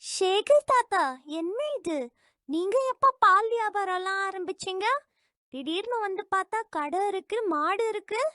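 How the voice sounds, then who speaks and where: A woman's voice: very high-pitched, unhurried, bright, forceful tone, dry recording, studio quality, exaggerated voice. A woman voicing a curious, cheerful little girl in a children's cartoon, sweet and inquisitive.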